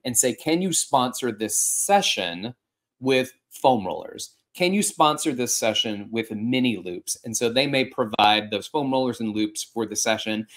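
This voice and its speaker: A man in his forties hosting a fitness podcast from a home room, giving casual practical advice on a video-call style setup with lively gestures. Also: mid-range voice, unhurried. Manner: animated, gesturing, with frequent restarts. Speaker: podcast host and fitness instructor. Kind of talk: casual instructional talk. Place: home room with a couch and art.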